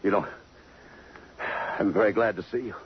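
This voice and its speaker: An actor playing a tough, brooding police detective in 1950s American radio drama, curt and hard-boiled. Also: male voice, on the low side, normal speed, close to an everyday voice. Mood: startled, urgent. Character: tough, brooding police detective lieutenant smitten by a murder victim. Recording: muffled, noisy 1950s radio recording.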